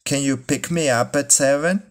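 A man who speaks as his teaches English phrases, reading each one slowly and then word by word. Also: medium pitch. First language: Spanish. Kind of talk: bilingual language lesson, slow drilled repetition.

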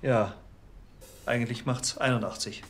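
An actor playing a naturopath in a TV crime comedy, chatting and explaining in an unhurried way. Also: male voice, medium pitch, steady tone, noisy background, natural voice. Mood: soothing, breezy. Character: breezy, soothing male naturopath treating a patient. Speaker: alternative practitioner.

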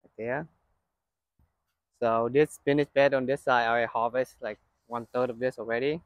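A man's voice: medium pitch, normal speed, dry recording, lo-fi sound. A man giving a casual walkthrough of his outdoor vegetable garden while he harvests, filming on a handheld phone. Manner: relaxed, chatty, pointing things out while walking and harvesting. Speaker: gardening channel host. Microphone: handheld phone camera.

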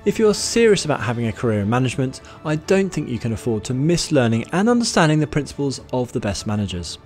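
British male trainer with a lively delivery. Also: mid-range voice, music in the background. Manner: measured, instructive, lists points in order. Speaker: management trainer. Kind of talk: scripted presenter talk to camera. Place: studio with plain grey backdrop. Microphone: studio mic on boom arm in front.

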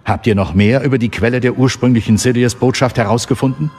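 An actor playing a serious, resolute Jedi master, stern and questioning, in a radio drama. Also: male voice, mid-range voice, quick pace, a little mellow, husky, faint music behind.

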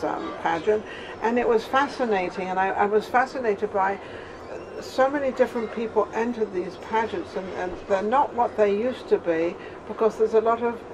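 A woman's voice: medium pitch, background noise. An older woman with an English accent hosting a studio interview, chatty and rambling with frequent laughter. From England. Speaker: TV host. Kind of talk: casual TV interview. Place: studio set with sofa and flowers.

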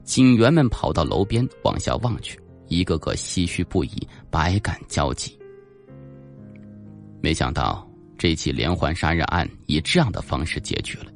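A man with a low, gravelly voice reads a suspense novel aloud as an audiobook narrator. His delivery is dramatic and animated, moving widely in pitch, over faint music. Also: moderate pace.

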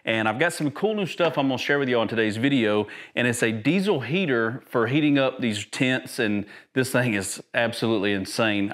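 A man's voice: fairly low pitch, a bit bright. A man, talking casually and enthusiastically to camera while demonstrating gear in a large garage workshop, with lots of fillers and restarts. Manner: chatty, enthusiastic, filler-heavy, restarts often. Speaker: YouTube camping and gear host. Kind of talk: casual product demo to camera. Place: large garage workshop with tool chests, indoors.

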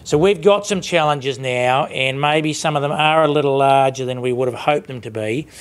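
Man, fifties, New Zealand accent, mid-pitched voice, plain-spoken and conversational, informal podium address. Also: moderate pace. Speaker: industry leader giving closing conference address. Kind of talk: informal public speech from a podium. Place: conference room with seated audience, indoors. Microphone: lectern microphones.

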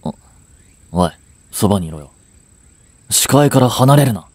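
A man's voice: on the low side, animated, unhurried, a little mellow, dry recording. A young man acting a curt, flustered boyfriend who hides his affection, with a hesitant, brusque delivery in a romance audio drama. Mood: exasperated, brusque. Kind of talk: Japanese romance audio drama aimed at women.

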